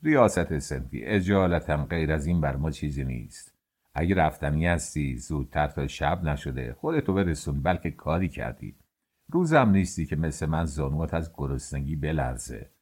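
A man's voice: a bass voice, animated, darkish tone, breathy edge, voice pushed down, full character voice. A man voicing a middle-aged male master baker who gives orders to his worker in a commanding, teasing way. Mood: bantering.

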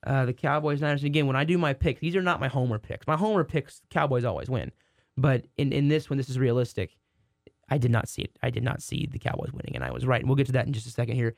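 A hoarse man co-hosting a sports podcast, talking casually and restarting his sentences often. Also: medium pitch, quick pace, no room sound, clean studio sound. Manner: rambling, many restarts. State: hoarse from a cold. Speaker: podcast co-host.

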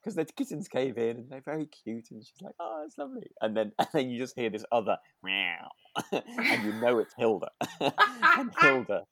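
A man's voice: mid-range voice, wildly swooping pitch, moderate pace, pushed and bright, dry recording, studio quality. A man co-hosting a podcast in casual chat, rambling along in a hedging, chuckly way. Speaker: podcast co-host. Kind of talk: casual chat reviewing a radio drama.

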